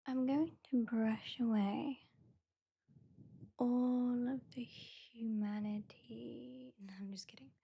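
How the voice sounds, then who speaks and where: A British woman voicing a haughty, mocking female vampire in an ASMR roleplay, soft-spoken and often whispering. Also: a little high, steady tone, takes it slowly, gravelly, long pauses, breathy edge, muffled recording, exaggerated voice. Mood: teasing. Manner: soft-spoken, drifting into whispers, dryly sardonic. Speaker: ASMR performer.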